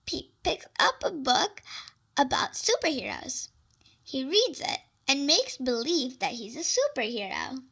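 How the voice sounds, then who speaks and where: A very high-pitched voice reads a children's storybook aloud at a slow, careful pace. The delivery is animated and expressive, with a creaky edge and little asides to young listeners. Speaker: storybook reader.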